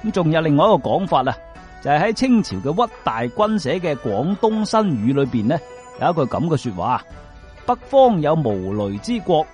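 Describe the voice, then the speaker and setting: A man with a Guangzhou Cantonese accent telling scripted folk stories in an expressive, colloquial, explanatory way. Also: a little high, moderate pace, soft background music. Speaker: storyteller and presenter of Cantonese folk sayings. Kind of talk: lively scripted storytelling narration.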